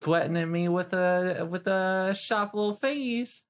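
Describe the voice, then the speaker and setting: A woman's voice: mid-range voice, measured pace, smooth. A woman improvising as a player in a tabletop role-playing game, with a flippant, slangy, hesitant way of talking. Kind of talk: casual improvised in-character chat.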